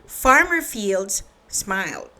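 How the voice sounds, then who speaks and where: A woman's voice: medium pitch, very animated, takes it slowly, sharp tone. A woman reading a children's story aloud, narrating and speaking directly to young listeners.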